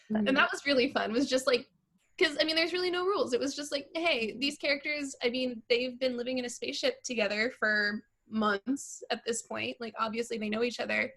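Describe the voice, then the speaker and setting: A woman's voice: fairly high pitch, moderate pace, a bit bright. A woman chatting in a breezy, informal, anecdotal way. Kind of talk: casual anecdotal chat.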